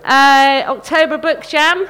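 A high-pitched female voice hosting a live literary event. She introduces readers informally, partly reading aloud, with the slightly hesitant manner of someone not used to the job. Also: steady tone, slow, bright, forceful tone.

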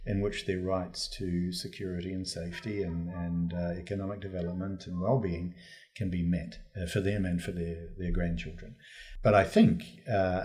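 A man with a low, dark-toned voice speaks as an interview guest. He works out his views as he goes, with halting, reworked phrasing in an unscripted discussion.

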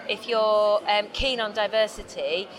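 Woman tech director giving an interview at a conference, confident and upbeat, loud crowd behind. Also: mid-range voice, steady tone, unhurried, low-quality recording. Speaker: company technology director. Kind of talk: conversational interview. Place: busy conference venue. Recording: busy crowd noise behind. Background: crowd chatter.

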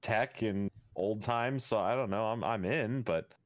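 A laid-back man with a fairly low voice chats on a gaming podcast. He talks his points through casually, leaning on "like" and "you know". The recording is dry. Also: measured pace, no echo.

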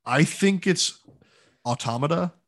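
A man's voice: fairly high pitch, lively intonation, measured pace. A man co-hosting a gaming podcast, chatting casually and informally with enthusiastic, opinionated remarks. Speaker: podcast co-host.

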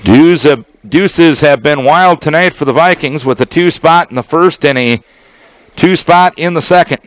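A man with a slightly high-pitched voice delivers live baseball play-by-play in a dry, close sound. He works as a radio announcer, using clipped, measured phrases and deliberate pauses between them. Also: no room sound. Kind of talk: live sports play-by-play commentary.

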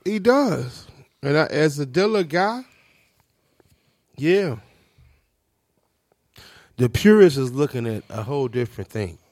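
A man's voice: a little high, sing-song, slow pace, pauses often, darkish tone, no room sound, clean studio sound. A man in his fifties from Chicago's South Side, co-hosting a podcast in casual chat and repeating his points emphatically. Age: 50s. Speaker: podcast co-host.

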